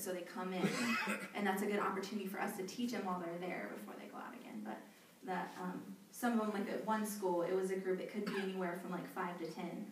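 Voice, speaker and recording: A young woman answering interview questions in a chatty, animated way, laughing often and leaning on casual fillers. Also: fairly low pitch. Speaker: interviewee, volunteer back from abroad. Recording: echoey hall, distant mic, faint noise.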